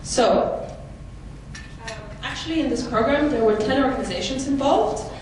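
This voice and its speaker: A woman from the Netherlands giving a conference presentation, explaining clearly with occasional fillers. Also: high-pitched, normal speed, a little mellow. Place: large conference hall. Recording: echoey hall, mic from far off, noisy.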